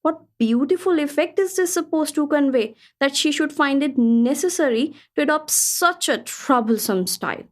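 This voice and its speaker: High-pitched lady traveller telling her tale. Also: expressive, normal speed, hardly any pauses. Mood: critical, surprised. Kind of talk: radio play storytelling, reading a travel account.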